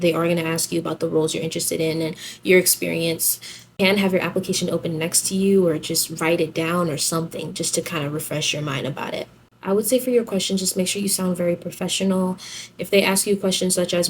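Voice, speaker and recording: Low-voiced young woman, chatty vlogger, background noise. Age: young adult. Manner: chatty, girlfriend-to-girlfriend slangy talk. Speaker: vlogger giving application tips. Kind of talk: casual advice vlog.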